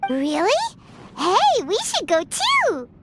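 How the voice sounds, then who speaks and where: Squeaky, sky-high little fairy girl. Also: slow pace, heavy background noise, heavily acted. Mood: eager. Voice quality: squeaky, childlike. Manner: excitable, emphatic. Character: tiny excitable childlike floating fairy girl. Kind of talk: video game voice acting.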